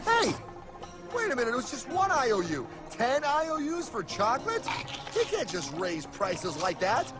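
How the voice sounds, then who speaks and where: A man with an American accent voicing a naive, whiny cartoon everyman with an excitable, protesting manner. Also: very high voice, normal speed, full character voice, rough recording. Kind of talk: animated explainer cartoon acting. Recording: music bed behind the voice.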